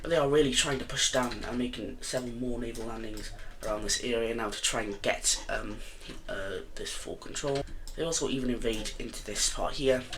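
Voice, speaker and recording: A man with a croaky voice narrates a map simulation in a loose, casual way. He talks quickly, stumbling through fillers and correcting himself as he goes, over a faint background hiss. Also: medium pitch. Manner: rambling, many fillers and self-corrections. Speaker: video host.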